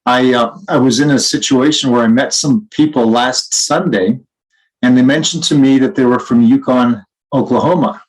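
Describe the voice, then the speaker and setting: A man's voice: medium pitch, rough recording. A man co-hosting a conversational podcast, talking reflectively and in an anecdotal style. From Canada. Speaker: podcast co-host.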